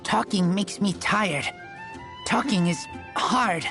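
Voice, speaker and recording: A man voicing a feral teenage wolf boy in a video game, blunt and earnest, speaking in halting, simple sentences. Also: high voice, fairly bright tone, full character voice. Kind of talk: video game character voice lines. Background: music.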